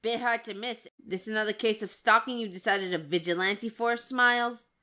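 A woman playing a skeptical, dry policewoman in an audio roleplay, brisk and wry. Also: a little high, pushed and bright. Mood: wry, stern. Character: skeptical, dry policewoman who knows the hero.

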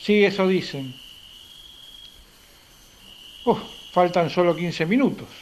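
A man acts an impatient, complaining traveller in a radio drama. The voice is high for a man, curt and exasperated, circling back on his own words. Night crickets and a faint hiss lie underneath. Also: moderate pace, muffled recording, lightly acted. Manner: curt, exasperated, repeats himself. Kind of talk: amateur radio play acting. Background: crickets, night ambience.

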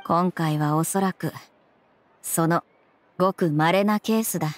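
An actress playing a stern, principled young police officer in a video game, with formal, clipped, businesslike speech. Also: female voice, fairly low pitch, unhurried, a little hiss or hum, close to an everyday voice. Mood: matter-of-fact. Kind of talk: Japanese game voice acting.

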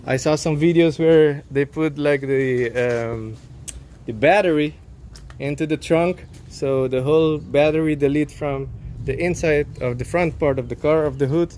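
Male vlog host, thirties, fairly high voice, casual delivery, some outdoor background noise. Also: a little slow, a little mellow. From Aruba. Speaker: car vlogger, host. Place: outdoors at open garage and yard.

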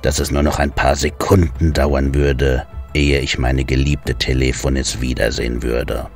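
A man voicing a grieving and wrathful male god of the underworld, slow, very deep and gravelly, heard from a distance. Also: level tone. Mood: mournful. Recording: distant voice over faint music.

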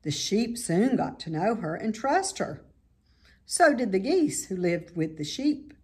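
Slow, expressive, husky American woman reading. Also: mid-range voice. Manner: measured, expressive read-aloud. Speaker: teacher reading aloud. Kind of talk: children's book narration. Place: small indoor room at home by a staircase.

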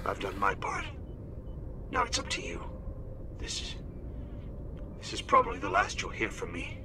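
An urgent, hunted navy admiral. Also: male voice, extremely high pitch, huge pitch swings, normal speed, exaggerated voice. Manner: tense, urgent and hushed. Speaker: military officer giving a recorded warning. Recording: noisy game audio with background ambience.

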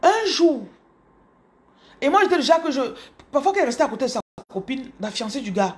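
A woman in her thirties from Cameroon with a Cameroonian French accent, telling her story to her followers in an animated, casual way, speaking close to a phone in a small room. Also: sits high, a little fast. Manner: animated, repeats words, addresses viewers directly. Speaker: business coach. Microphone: phone camera held close.

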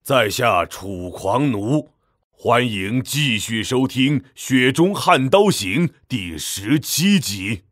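A man's voice: mid-range voice, animated, slow, fairly bright tone, no echo, clean studio sound. A man playing a martial arts character who announces an audio drama episode. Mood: slow, declaiming.

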